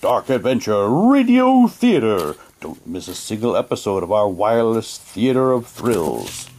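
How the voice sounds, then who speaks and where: Man, lowish voice, very lively intonation, casual unboxing chat, theatrical read-aloud phrasing. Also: a little slow, a little hiss or hum. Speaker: vlogger unboxing a collectible audio drama CD. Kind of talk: casual unboxing talk with theatrical reading of packaging text.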